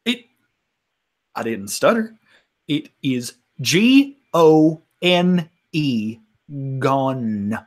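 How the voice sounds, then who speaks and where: A man improvises as a player in a mystery role-playing game. His husky voice swings widely in pitch, and he takes his time, leaning into the drama as he moves between character and narration. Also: very slow. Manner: animated, dramatic delivery.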